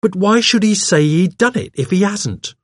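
A man's voice: high voice, lively intonation, moderate pace, no echo, studio quality, pitched above the performer's own voice. A man voicing a chatty, arch young woman with a gushing, pert, colloquial way of talking, as in an audiobook. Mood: surprised, scornful. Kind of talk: audiobook character voice.

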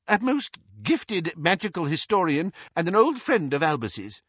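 A man voicing a frail, easily distressed elderly wizard in a storybook, earnest and feebly protesting in a trembling, bleating croak. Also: very high voice, unhurried, sharp tone, dry recording, muffled recording, pitched above the performer's own voice, full character voice. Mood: grateful, earnest.

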